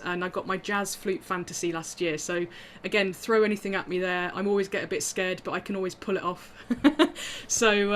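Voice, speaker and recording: A woman's voice: mid-range voice, a little hiss or hum. A woman musician talking casually through her instruments on a video call, chatty and self-deprecating with frequent ums and little laughs.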